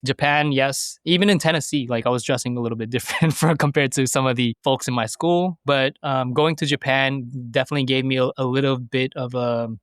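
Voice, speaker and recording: A man's voice: mid-range voice, steady tone, fairly quick, few pauses, fairly bright tone, smooth, no echo, studio quality. A man in his thirties from the United States, a podcast guest answering questions thoughtfully with frequent fillers and restarts. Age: 30s. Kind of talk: conversational interview.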